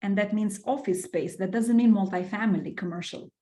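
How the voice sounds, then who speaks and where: Brief woman from albania, middle-aged, chatty podcast guest, lots of ums and restarts, mid pitch. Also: a little fast, mellow. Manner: enthusiastic, with many fillers and repeated words. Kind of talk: casual interview storytelling.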